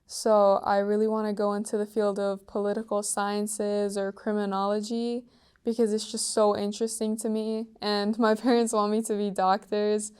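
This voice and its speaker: Earnest teenage girl, very flat delivery. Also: medium pitch, smooth. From Iran. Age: teens. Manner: earnest, soft-spoken, with frequent "like" and "um" fillers. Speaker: interviewee. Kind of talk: casual interview answers.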